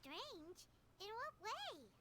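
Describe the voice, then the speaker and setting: A teeny squeaky game fairy, super high and bouncy, childlike, cute and nosy. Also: female voice, very animated, very slow, background noise, exaggerated voice. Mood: puzzled, inquisitive. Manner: cute, childlike, refers to itself by name. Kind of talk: scripted video game dialogue.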